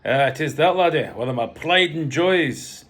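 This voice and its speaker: A storybook patriarch with a Scottish accent and a slightly rough voice, acted out by a man. He is older, blunt and imperious, lifting his voice high and loud as he holds forth. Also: expressive, moderate pace. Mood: blunt, opinionated. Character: gruff, commanding older Scottish father and mansion owner.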